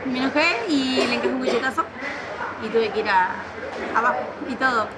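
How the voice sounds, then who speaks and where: A woman's voice: fairly high pitch, fairly quick. A woman, a market coffee vendor, speaking frankly and with resignation. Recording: loud crowd noise.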